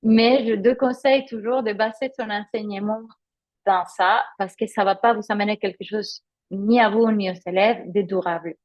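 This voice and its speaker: A woman with a Spanish accent, a native Spanish speaker, teaching conversationally in a live webinar as a yoga teacher trainer, explaining with personal examples and pausing to find her words. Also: mid-range voice, fairly even intonation.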